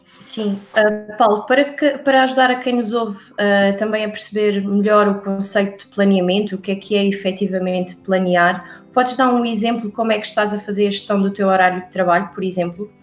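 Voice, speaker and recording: A woman's voice: mid-range voice, fairly even intonation, a little fast. Woman, European Portuguese accent, podcast co-host, polite questions, echoey room, faint music. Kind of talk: podcast interview.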